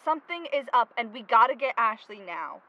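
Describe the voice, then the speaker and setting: A stubborn grieving young woman in a podcast drama, high pushy voice, dull audio. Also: sing-song, brisk. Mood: urgent, pleading. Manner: insistent, urgent. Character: driven, stubborn, grieving young woman hunting her missing friend.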